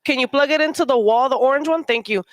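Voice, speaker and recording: A woman's voice: sits high, slight background noise. Chatty, informal woman hosting. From Mexico. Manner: chatty, informal, quick to laugh. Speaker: show host. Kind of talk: casual live-stream chat.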